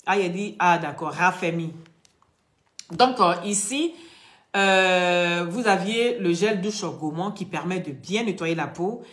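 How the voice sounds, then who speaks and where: Lady going live, lecturing folks then selling creams, lowish voice, echoey room. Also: measured pace, a bit bright. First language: not French. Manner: emphatic, lecturing, addresses viewers directly. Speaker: online vlogger and beauty product seller. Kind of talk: live social media chat and product pitch.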